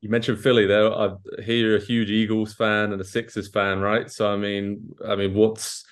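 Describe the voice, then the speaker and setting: Male sports interviewer, lowish voice, flat delivery, long leading questions. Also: moderate pace.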